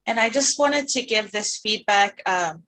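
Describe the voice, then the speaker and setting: A woman's voice: medium pitch, animated, unhurried, a bit bright, dry recording. A woman from the United States, a parent on the board, talking eagerly in an online meeting, rambling and restarting her sentences. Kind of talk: casual online meeting talk. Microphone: video call.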